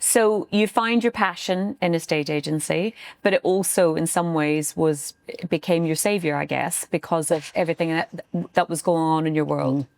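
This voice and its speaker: A woman hosts an interview with a smooth, resonant voice set fairly low. She affirms her guest warmly in words and asks gentle follow-up questions. The sound is close and dry. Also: moderate pace, no echo. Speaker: podcast host, interviewer. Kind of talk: empathetic interview.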